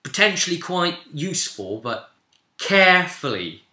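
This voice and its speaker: A British man with a very bright, sharp voice talks through a role-playing session in an echoey room. He tells it casually as the game master, stopping to explain with asides. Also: high-pitched, sing-song, unhurried. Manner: informal storyteller, explanatory with frequent asides.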